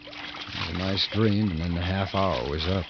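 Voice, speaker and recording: An actor with an American accent playing a fed-up, protective convict who also narrates a 1950s radio drama. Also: male voice, sits low, moderate pace, highs cut off, background noise. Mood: reflective narration. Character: fed-up convict, protective, defiant, guilt-ridden man. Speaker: protagonist and narrator. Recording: band-limited 1950s radio recording.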